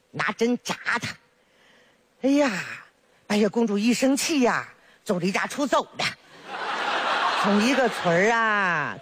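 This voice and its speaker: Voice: mid-range voice, a bit bright, slightly rough, no room sound, full character voice. Someone playing a chatty old Northeastern granny. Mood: storytelling. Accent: Northeastern Chinese. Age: older. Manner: sing-song, full of exclamations, teasing and jokey. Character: chatty doting old village grandmother. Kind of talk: solo comedy sketch.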